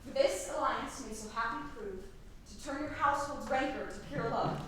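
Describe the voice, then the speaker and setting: A woman playing a wise friar who gives measured advice, declaiming verse on stage. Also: high-pitched, noisy background, natural voice. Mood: counselling. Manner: measured, counselling. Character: calm, wise older male friar. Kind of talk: stage Shakespeare acting. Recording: echoey hall from far off.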